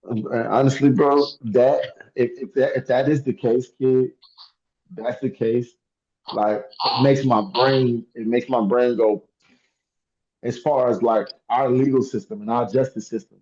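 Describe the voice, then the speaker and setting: A man's voice: mid-range voice, fairly even intonation, a little slow, warm tone, muffled recording. A man talking heatedly in a casual podcast discussion, emphatic and laughing through his points. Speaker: podcast co-host.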